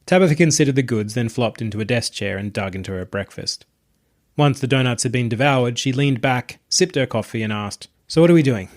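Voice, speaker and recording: A man reading a scripted short story as narrator, in a measured, descriptive storytelling manner. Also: mid-range voice.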